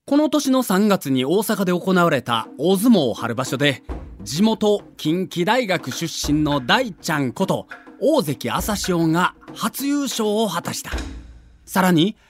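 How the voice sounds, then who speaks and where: A man's voice: sits high, very animated, slow, faint music behind. A man narrating a scripted documentary as a story, with dramatic emphasis.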